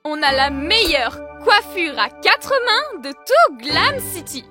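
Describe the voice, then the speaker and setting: A woman voicing a sweet, helpful young female dog, somebody's niece, eager and expressive in a children's cartoon. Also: very high-pitched, takes it slowly, exaggerated voice. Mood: delighted. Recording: music behind the voice.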